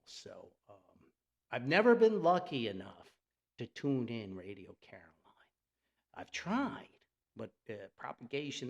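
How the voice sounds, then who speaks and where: A man speaks as an enthusiastic radio hobbyist hosting his own podcast. His mid-pitched voice has a gravelly creak and moves in lively swings. The delivery is folksy and off the cuff, like casual storytelling. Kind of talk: casual unscripted podcast monologue.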